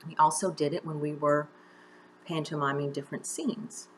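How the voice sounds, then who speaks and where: Low-pitched woman teaching, noisy recording. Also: fairly even intonation, normal speed. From United States. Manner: conversational, encouraging step-by-step instruction with direct questions. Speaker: drama teacher. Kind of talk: instructional video mini-lesson.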